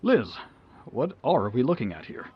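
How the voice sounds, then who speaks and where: Audio drama: male actor for earnest, emphatic anchor, slightly rough voice, dull broadcast sound. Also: medium pitch, very animated, measured pace. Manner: formal, emphatic anchor delivery.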